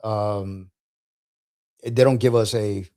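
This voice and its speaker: A man's voice: fairly low pitch, steady tone, slow pace, warm tone. A man speaking as a military veteran and podcast guest, telling personal stories in a casual, conversational way.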